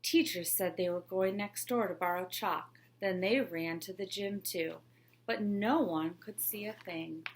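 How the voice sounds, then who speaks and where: A woman teacher reading a children's picture book aloud with a clear, expressive storybook delivery. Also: medium pitch, measured pace, a little hiss or hum.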